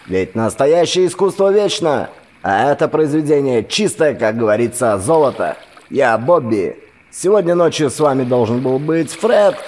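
A man's voice: mid-range voice, darkish tone, pitched above the performer's own voice. A man voicing a chatty late-night radio DJ heard through a crackling receiver speaker. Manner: breezy patter over a crackling radio.

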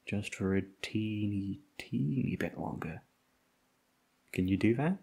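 A man acting a tough, husky, low-voiced bodyguard. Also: slow, warm tone, full character voice. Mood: hushed, reassuring. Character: tough protective male bodyguard, foul-mouthed, tender toward his charge. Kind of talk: ASMR audio roleplay.

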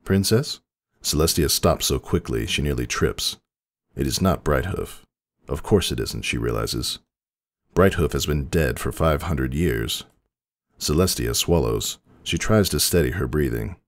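A male narrator delivers a dramatic story reading in an extremely low voice. The recording is dry, with hardly any room sound. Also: lively intonation, measured pace, no room sound.

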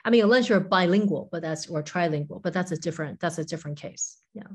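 A woman in her forties speaks as a guest scholar over a video call from a room at home. Her voice sits somewhat low, and she explains ideas thoughtfully, often hedging as she goes. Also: quick pace, slightly rough. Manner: thoughtful, explanatory, hedges with "kind of" and "you know". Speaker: professor and author, guest. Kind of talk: interview conversation.

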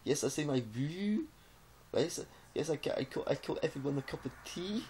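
A man's voice: fairly high pitch, lively intonation, moderate pace, a little mellow, voice pushed up. A dim-witted South African man played by a man in a crude comic caricature. He stammers and repeats himself in an imitation accent, over faint noise on a homemade recording. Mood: mocking, silly. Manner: stuttering, repetitive, emphatic. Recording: noisy webcam-style home recording.

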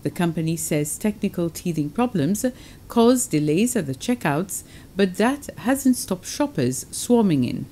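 Woman news anchor, dark mellow tone. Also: on the low side, unhurried, a little hiss or hum. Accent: Seychellois. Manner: steady formal delivery with a few fillers. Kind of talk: news reading. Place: TV studio.

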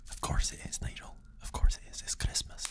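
A man whispering as a kindly, mysterious older male mouse in an ASMR storybook tale, gently reassuring. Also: a little fast, lightly acted.

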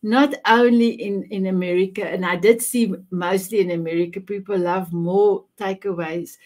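A woman's voice: medium pitch, fairly even intonation, normal speed, darkish tone. Woman host of an online talk show, effusive interviewer on a video call mic.

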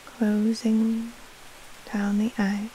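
A woman leading a guided sleep meditation as a yoga nidra teacher, in a hushed, breathy, soothing delivery with long pauses between short phrases. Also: medium pitch, completely flat delivery, poor audio. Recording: faint hiss and rustle. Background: soft rain-like noise.

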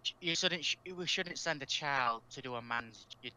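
A man playing a blunt, teasing survivor in an amateur game roleplay, with a dry, halting delivery. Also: mid-range voice, crowd noise, lightly acted, low-quality recording. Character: blunt, teasing male survivor with a pipe wrench. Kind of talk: amateur Minecraft roleplay voice acting.

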